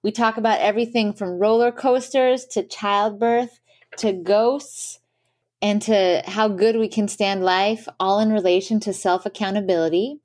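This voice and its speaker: An enthusiastic woman co-hosting a podcast in easy, casual conversation. Her voice sits at a middle pitch. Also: fairly even intonation, a little slow, darkish tone. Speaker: podcast co-host.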